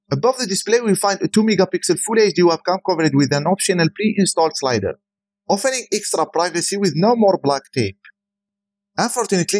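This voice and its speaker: A man's voice: a little high, a little mellow, rough recording. A man who is a native Arabic speaker, giving a scripted voice-over product review as a tech reviewer.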